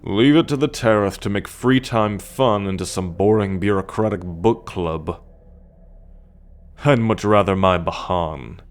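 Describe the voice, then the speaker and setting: A man's voice: faint music behind, close to an everyday voice. A man playing a cocky, teasing male alien scout warrior in an ASMR roleplay, talking straight to the listener with a drawl. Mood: disdainful. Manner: teasing, slow and drawling, with low chuckles.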